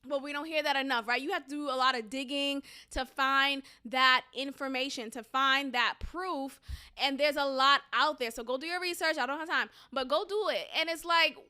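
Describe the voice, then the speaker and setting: Young boston-accent woman ranting on her podcast, sarcastic, pretty high voice. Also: normal speed, sharp tone. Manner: opinionated, sarcastic, emphatic. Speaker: podcast host. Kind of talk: solo opinion commentary podcast.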